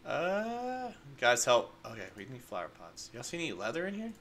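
A congested man chats his way through a gameplay session, rambling and talking to his viewers. His pitch swings in a lively way. Loud keyboard clicks and typing sit clearly behind his voice. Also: normal speed, slight background noise. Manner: rambling, chatty, addresses chat as guys. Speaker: gaming creator. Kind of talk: casual unedited gameplay commentary.

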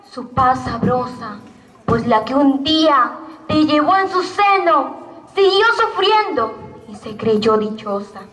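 A young female student reciting poetry in a dramatic, emphatic, drawn-out declamation style. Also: very high voice, pushed and bright. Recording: echoey venue.

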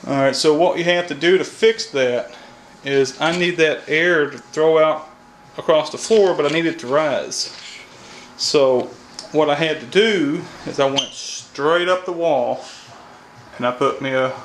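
A man's voice: on the high side, a little slow, slight background noise, poor audio. A man in his thirties with a Kentucky accent, explaining heating and air repair in a folksy, think-aloud way, filmed on a camera mic. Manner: folksy, thinks aloud, frequent "all right". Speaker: HVAC technician. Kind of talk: casual on-the-job explanation. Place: outdoors by an AC unit, then inside a house.

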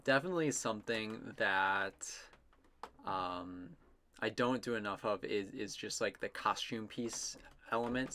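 Slow-paced man, casual art commentary. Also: fairly low pitch, sharp tone, slight background noise. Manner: rambling, self-amused, trailing musings. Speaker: illustrator narrating own drawing process.

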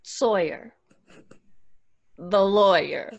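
An actress playing a sardonic, impatient young twin sister in an audio drama, with a dry, sarcastic manner. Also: female voice, mid-range voice, extremely slow, creaky, dry recording, lo-fi sound, exaggerated voice.

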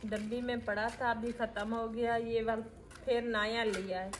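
Middle-aged woman, cooking vlogger, higher voice, homely step-by-step talk, outdoor courtyard, noticeable hiss. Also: flat delivery, a little slow. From Pakistan. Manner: homely, matter-of-fact instructions with frequent fillers. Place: outdoor courtyard with brick walls.

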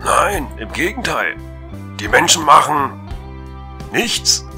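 An actor playing a nervous reporter calling in a strange scene in a radio drama. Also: male voice, a little high, big pitch swings, slow, over music, exaggerated voice. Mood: alarmed. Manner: tense, alarmed. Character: nervous male reporter on a rooftop. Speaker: field reporter.

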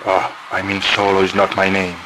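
A man's voice: deep voice, monotone, moderate pace, very noisy, close to an everyday voice. A man playing a defiant, petulant young masked villain in a cartoon parody. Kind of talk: cartoon parody character acting.

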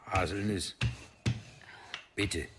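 An actor playing an elderly, plain-spoken widowed villager in a radio drama, talking tersely and gruffly. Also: male voice, low voice, fairly even intonation, drawn-out words, very noisy. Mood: plain, wistful. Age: older. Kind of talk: naturalistic radio drama acting.

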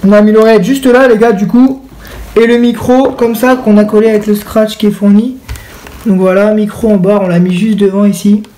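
A man's voice: high-pitched, fairly even intonation, brisk, warm tone, slight background noise, low-quality recording. A young man giving a casual product tutorial, informal and talking straight to the viewers. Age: young adult. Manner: informal, addressing viewers directly. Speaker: video creator and presenter. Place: indoors in a home room.

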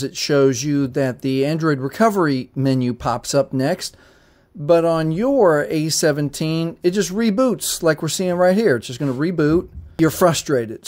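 A man's voice: a little high, darkish tone. Man talking viewers through a phone reset. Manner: direct, repetitive, talks viewer through steps. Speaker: tech tutorial host. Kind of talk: step-by-step phone tutorial. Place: indoors at a table, phone held to camera.

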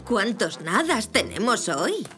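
A woman's voice: a little high, fairly bright tone, full character voice. A woman playing a cheerful mother zebra in a children's cartoon dub, with a lilting, playfully teasing manner.

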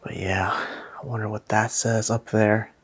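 A young man vlogging casually as he walks through an abandoned mansion, chatty and excitable. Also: fairly low pitch, a little slow, breathy edge. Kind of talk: casual walkthrough vlog. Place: indoors in an abandoned house.

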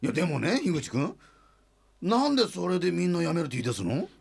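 An actor playing a flustered, good-natured middle-aged company president in a comic radio drama, stammering and hesitant. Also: male voice, on the high side, huge pitch swings, fast, gravelly, a little mellow, husky, no room sound, heavily acted. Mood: baffled, panicky.

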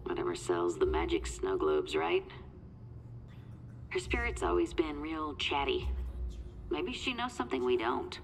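An actress playing a wry, secretive small-town psychic in a video game, with a hushed, confiding manner. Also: female voice, extremely low pitch, sing-song, measured pace, creaky, long pauses, slight background noise, exaggerated voice.

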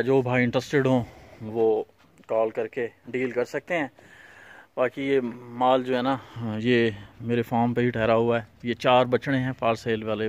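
A man who owns a cattle farm, casually showing animals for sale outdoors in a dusty farmyard, pointing out each one's features plainly and repetitively. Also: mid-range voice. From Pakistan. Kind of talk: casual walkthrough vlog.

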